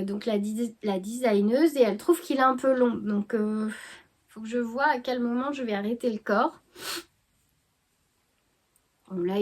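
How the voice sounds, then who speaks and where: Woman, higher voice, congested with a cold, hesitant, full of fillers, casual knitting chat at home. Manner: hesitant, many fillers and restarts. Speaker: knitting vlogger.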